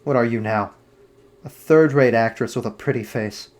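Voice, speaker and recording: A man's voice: medium pitch, normal speed, a little mellow, natural voice. A man reading aloud, playing a cold, cruel young man bitterly rejecting his lover with scorn.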